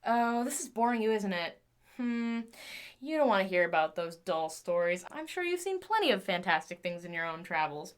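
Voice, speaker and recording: A woman's voice: mid-range voice, very animated, moderate pace, clear, resonant tone, lightly acted. A woman playing an obsessive, lonely scientist and tinkerer in an audio drama, chatty and theatrical with a volatile intensity. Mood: brittle cheerful chatty.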